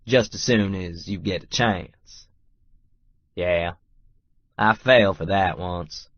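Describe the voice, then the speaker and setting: A man's voice: low-pitched, slow, no echo, dull audio, exaggerated voice. An actor narrating a romance audiobook as a stubborn, wry, lovestruck Texas oilman. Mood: wry, determined. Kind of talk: first-person audiobook narration with dialogue.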